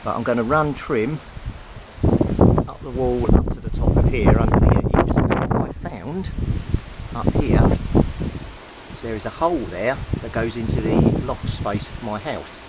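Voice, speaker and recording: A man's voice: medium pitch, a little slow. A man casually explaining a hands-on DIY job as he works, in an informal, matter-of-fact way. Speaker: DIY video maker. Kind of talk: casual hands-on demonstration, talking to camera while working. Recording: very noisy handheld recording with clicks, rustling.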